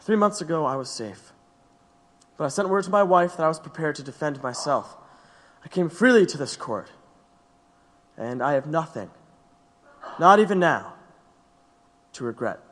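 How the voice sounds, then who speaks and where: A man's voice: on the high side, animated, fairly bright tone. Reverberant, defiant male anarchist orator. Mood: frank, resolute. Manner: rhetorical, deliberate, emphatic. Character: defiant, impassioned male anarchist defendant addressing court. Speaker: actor in an audio drama. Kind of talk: theatrical courtroom oratory. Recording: long hall-like reverb.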